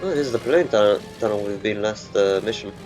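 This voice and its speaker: Low-voiced man giving halting, muttering gameplay commentary over game music. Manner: muttering, halting, thinking aloud. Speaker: gamer.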